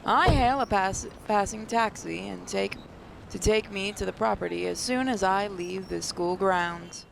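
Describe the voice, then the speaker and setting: A woman's voice: mid-range voice, a little slow, creaky, a little hiss or hum, rough recording. A woman reading a visual novel's narration aloud, now and then stumbling and rereading a phrase. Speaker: gaming channel host.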